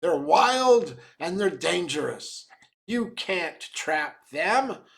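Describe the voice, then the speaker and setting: A grizzled old small-town man acted by a storyteller, a man. He is fearful and emphatic, stretching his words slowly like an ominous warning in a dramatic tale. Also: sits high, very animated, vocal fry, a bit bright, heavily acted. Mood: agitated warning.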